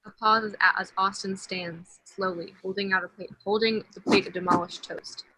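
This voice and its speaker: A woman's voice: medium pitch, fairly even intonation, moderate pace, sharp tone, slightly rough, no echo, low-quality recording. A woman narrating a play, reading the stage directions as scripted narration.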